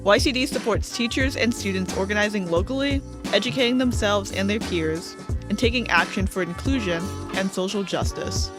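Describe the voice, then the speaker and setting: A woman podcast host reading a scripted welcome in an even, read-aloud manner. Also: mid-range voice, moderate pace, music in the background. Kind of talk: scripted intro.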